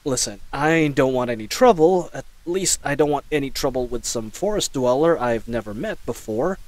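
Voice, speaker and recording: A man's voice: medium pitch, expressive, moderate pace, dry recording, close to an everyday voice. A man playing a flustered, chatty knight in a scripted audio roleplay, rambling nervously and stammering. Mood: pleading, rambling. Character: babbling, flustered young male knight caught in a snare.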